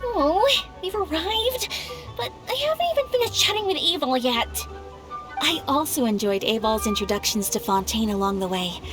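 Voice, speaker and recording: Curious young woman traveller: anime game, high, lively, girlish, friendly. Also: normal speed. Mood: cheerful, friendly. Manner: girlish, enthusiastic. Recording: music behind the voice.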